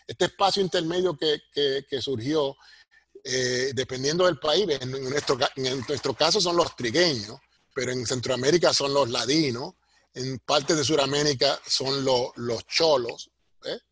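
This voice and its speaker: A middle-aged or older man with a Puerto Rican Spanish accent and a husky voice, explaining things like a professor in an interview and punctuating his points with tag questions. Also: medium pitch, moderate pace, fairly bright tone, no echo. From Puerto Rico. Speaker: sociology professor, interview guest.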